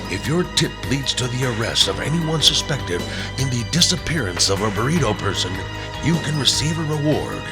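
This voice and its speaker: Man narrating a mock mystery over loud music. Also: mid-range voice, sing-song, normal speed. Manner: dramatic, mock-serious. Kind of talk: mock true-crime mystery narration, scripted.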